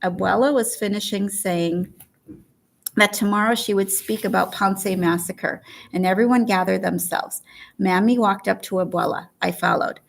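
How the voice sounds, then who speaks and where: Woman, slightly low pitch, creaky fry in the voice, expressive first-person audiobook narration. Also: normal speed, low-quality recording.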